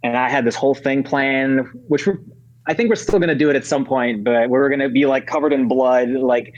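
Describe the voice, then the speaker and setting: A man's voice: mid-range voice, a little fast. A man in his thirties, originally from Ukraine, chatting casually as a podcast guest, rambling with many fillers and restarts. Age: 30s. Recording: dull lo-fi audio.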